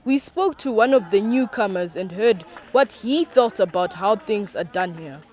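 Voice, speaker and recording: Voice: mid-range voice, animated, normal speed, a little mellow. A narrator reading a scripted introduction for a school newsreel.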